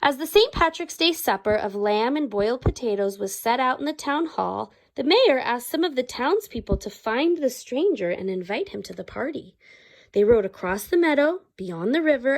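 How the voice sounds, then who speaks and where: A woman reading a children's story aloud expressively, like a teacher, pausing to explain and ask the young listeners questions. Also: on the high side.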